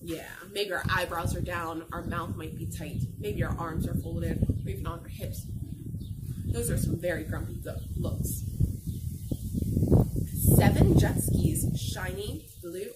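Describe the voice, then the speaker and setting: A young adult woman reading a picture book to children in an expressive, encouraging, sing-song way, pausing to ask questions. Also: on the low side. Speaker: teacher reading aloud to children. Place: outdoors on a garden patio. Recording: noisy outdoor recording with birdsong.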